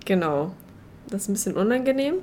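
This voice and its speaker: A woman's voice: mid-range voice, animated, fairly quick, darkish tone, a little hiss or hum. A young woman co-hosting a podcast in casual chat, with a joking, ironic manner. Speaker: podcast co-host.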